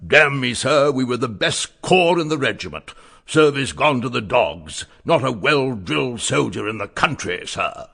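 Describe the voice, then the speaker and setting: A man's voice: mid-range voice, expressive, a little slow, creaky, pushed and bright, pitched above the performer's own voice, exaggerated voice. Guy doing a crusty old general, boastful and indignant, clipped barky army talk, audio play. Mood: blustering, indignant. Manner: blustery, clipped military talk.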